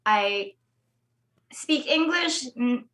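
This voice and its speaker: A woman's voice: on the high side, animated, drawn-out words, fairly bright tone. A young woman from the Philippines, a guest musician, chatting in an interview with frequent "like"s and small laughs. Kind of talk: casual interview chat.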